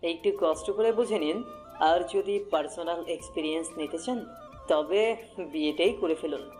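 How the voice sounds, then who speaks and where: A man's voice: a little high, moderate pace, a little mellow. A man giving a scripted narration of a romantic story. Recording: music bed under the voice. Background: music.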